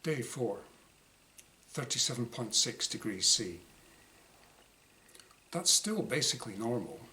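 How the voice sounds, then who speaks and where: Male author-reader, mid-pitched and bright, wry exasperated diary monologue. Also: sing-song, slow pace. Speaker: author reading own short story. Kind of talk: dramatised reading of a first-person diary story. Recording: clear background noise.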